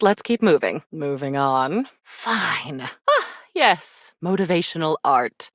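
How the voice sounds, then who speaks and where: A woman's voice: on the low side, no echo. A woman voicing a haughty female spirit in a video game, speaking in an arch, theatrical way with dry sarcasm and condescending endearments. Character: haughty, sardonic female attic spirit of forgotten keepsakes. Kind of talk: scripted video game character acting.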